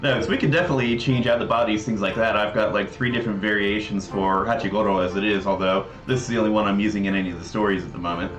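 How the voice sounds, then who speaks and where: A man's voice: fairly low pitch, very fast, few pauses. A man presenting a casual livestream demo, explaining things in a rambling way with frequent asides. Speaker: presenter demonstrating a visual novel project. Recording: roomy echo, faint music behind. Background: faint music.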